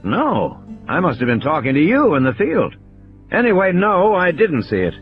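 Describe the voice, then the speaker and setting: A man's voice: fairly high pitch, wildly swooping pitch, moderate pace, dull audio, faint music behind, pitched above the performer's own voice. A man voicing a troubled control-room engineer in an audio drama, explaining technical matters in a resigned way. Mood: defensive, uneasy.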